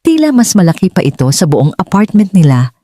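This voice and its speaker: Woman, audiobook narrator, dramatic storytelling, animated intonation, soft-toned mellow voice. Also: fairly low pitch, a little fast, no echo, studio quality.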